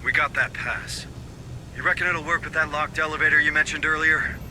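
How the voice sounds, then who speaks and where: An actor playing a curt, commanding marine sergeant leading a squad in a sci-fi game. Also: male voice, on the high side, fairly even intonation, fairly quick, sharp tone, slight background noise, rough recording. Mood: brisk, focused.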